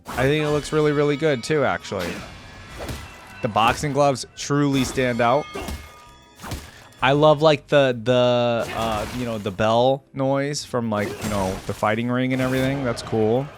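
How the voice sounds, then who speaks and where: Man, mid-pitched voice, reaction host, conversational game commentary, thinking aloud, light background music. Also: measured pace.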